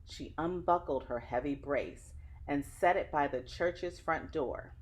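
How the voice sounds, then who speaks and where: A woman's voice: deep voice, measured pace, darkish tone, low-quality recording. A woman reading a children's story aloud like a teacher, with dramatic phrasing and an engaging way of addressing young listeners.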